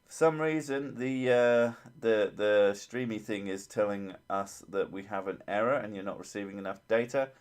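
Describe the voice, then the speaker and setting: A man with a low, soothing voice chats loosely through a livestream, rambling and poking fun at himself. He laughs often and drifts from one thought to the next. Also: normal speed, few pauses, clear, resonant tone. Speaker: livestream host. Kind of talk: casual livestream chat.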